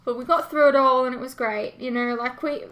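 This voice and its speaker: A woman's voice: sits high, fairly even intonation, brisk, fairly bright tone. An Australian woman chatting casually to her viewers as a reaction host, informal, rambling and self-deprecating as she reads and answers comments.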